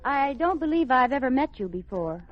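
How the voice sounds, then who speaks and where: A woman playing a playful, quick-witted wife in an American radio comedy, coy and dry. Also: high-pitched, sing-song, over a noisy crowd. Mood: polite, bemused. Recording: muffled noisy radio, loud studio audience.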